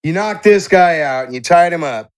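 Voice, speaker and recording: Man, game master, slightly high pitch, animated delivery, tabletop role-play narration. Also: normal speed.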